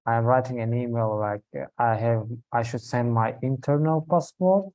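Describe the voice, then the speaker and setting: A man from Tajikistan speaks on a video call, explaining his situation slowly with many pauses and fillers. His voice sits in a middle range, and the sound is dry, with no room echo. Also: mid-range voice, a little mellow. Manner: hesitant, explains at length with fillers. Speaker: prospective student asking questions. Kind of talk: online call Q&A.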